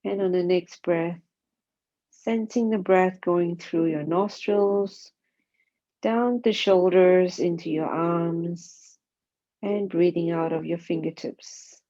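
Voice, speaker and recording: A woman guides a body scan meditation, speaking calmly and gently in short, spaced phrases. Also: fairly low pitch, slow. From Singapore. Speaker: mindfulness meditation teacher. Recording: dull, muffled lo-fi sound.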